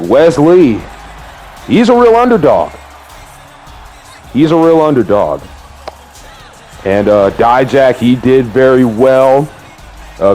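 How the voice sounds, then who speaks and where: A slightly hoarse man recapping his day in a casual vlog, excitable and sprinkling his talk with drawn-out exclamations. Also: mid-range voice, poor audio. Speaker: vlogger.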